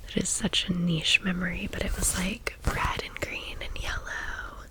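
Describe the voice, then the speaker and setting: Slow whispering woman, ASMR storyteller. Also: fairly low pitch, little pitch movement. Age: 30s. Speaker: ASMR vlogger.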